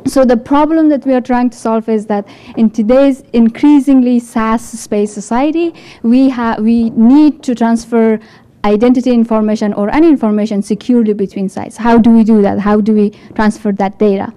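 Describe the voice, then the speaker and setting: Afghan-accented woman, mellow smooth voice. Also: fairly high pitch, steady tone, normal speed. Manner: chatty, explains with rhetorical questions. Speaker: developer support engineer giving a talk. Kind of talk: conference lightning talk. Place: event hall with an audience.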